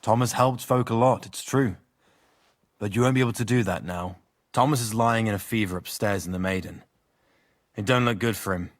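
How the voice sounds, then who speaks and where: A man playing a blunt, rough castle guard. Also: lowish voice, moderate pace, natural voice. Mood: gruff. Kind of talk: video game voice acting.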